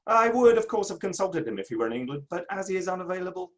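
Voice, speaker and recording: A man's voice: high-pitched, very animated, fast talker, a bit bright. A man playing a pompous, self-satisfied Victorian playwright in a theatrical dramatic reading. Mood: breezy, boastful. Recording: online reading from home setup.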